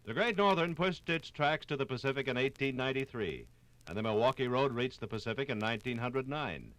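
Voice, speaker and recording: A man's voice: mid-range voice, animated, a little fast, a bit bright, dry recording. An American man narrating a 1950s radio documentary from a script in a formal, emphatic, oratorical manner. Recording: 1950s radio program on vinyl. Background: music.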